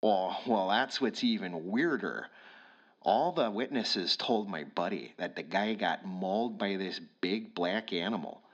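Guy putting on a thick minnesotan beat cop, low and hushed, kinda dull lo-fi phone sound. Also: a little slow. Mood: confiding. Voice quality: hushed, folksy, drawling. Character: gruff male police sergeant, gossipy, blunt. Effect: heard over the phone.

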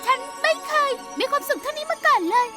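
A very high bubbly pony girl. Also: quick pace. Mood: wistful. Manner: bubbly, excitable. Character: cheerful young pink party pony mare. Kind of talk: Thai cartoon dub. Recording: music bed under the voice.

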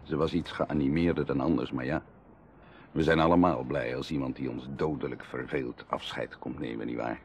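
An actor playing a proud, hard-up aristocratic bachelor in a radio drama, confiding and passionate, with emotional, dramatic delivery shifting from hushed to outbursts. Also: male voice, a bass voice, steady tone, moderate pace, gravelly, a little hiss or hum. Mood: exasperated, bewildered. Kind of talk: Dutch radio drama acting.

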